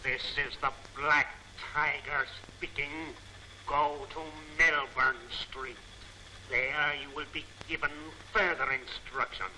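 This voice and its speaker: An actor playing a cold, commanding criminal mastermind giving imperious orders in a 1940s film serial. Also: male voice, medium pitch, fairly even intonation, pushed and bright. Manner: slow, imperious, clipped orders. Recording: noisy old film, recorded message sound.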